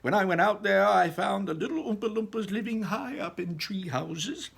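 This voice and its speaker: A man's voice: high-pitched, moderate pace, gravelly, fairly bright tone, breathy edge, voice pushed up, exaggerated voice. A man voicing a proud, eccentric chocolate factory owner in a theatrical, emphatic storytelling manner for a children's book. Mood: dramatic, horrified. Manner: exclaiming, dramatic, emphatic storytelling.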